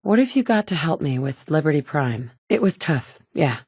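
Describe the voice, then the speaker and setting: A woman's voice: low-pitched, big pitch swings, normal speed, darkish tone, husky, no echo, heavily acted. A woman voicing a tough, sardonic survivor in a wasteland, talking in a dry, blunt way with sarcastic asides, recorded close up in a studio booth. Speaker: player character. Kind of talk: video game voice acting. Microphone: close studio mic.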